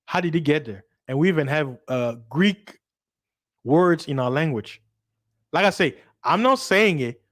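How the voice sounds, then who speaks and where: Man, from central africa, native lingala speaker, livestream monologue, repetitive, emphatic, rhetorical questions, slightly high voice. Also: lively intonation, a little slow, a bit bright. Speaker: livestream host.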